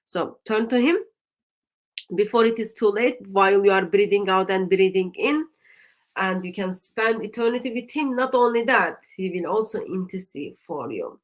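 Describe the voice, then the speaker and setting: A woman with a Turkish accent, a native Turkish speaker, hosting a religious discussion show in a deliberate, emphatic way that leans on repeated phrases. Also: mid-range voice, expressive. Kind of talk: live discussion with evangelistic exhortation.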